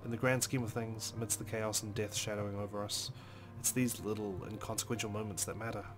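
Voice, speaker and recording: Low-voiced man reading a story dramatically. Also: little pitch movement, fast, low-quality recording. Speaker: let's play host reading game narration. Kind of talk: expressive first-person story narration. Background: music.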